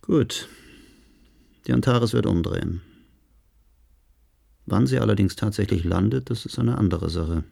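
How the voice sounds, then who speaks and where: Sci-fi radio play: a cautious, calculating scientist, low-pitched, heavily gravelly, formal delivery. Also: male voice, unhurried, pauses often, warm tone, full character voice. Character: calculating, cautious male professor at ground control. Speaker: actor. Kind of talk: German science-fiction radio drama.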